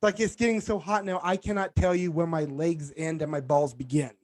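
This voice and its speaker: A man's voice: fairly high pitch, steady tone, brisk. A man doing a stand-up set, self-deprecating and punchy, with lots of "like" fillers. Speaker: stand-up comedian.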